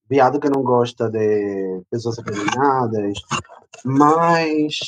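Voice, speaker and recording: A young Peruvian man, a native Spanish speaker with a clear accent, telling his story in an interview. He talks slowly and loosely, dropping in lots of fillers. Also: mid-range voice, rough recording. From Peru. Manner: slow, unhurried, chatty with many fillers. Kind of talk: casual personal storytelling.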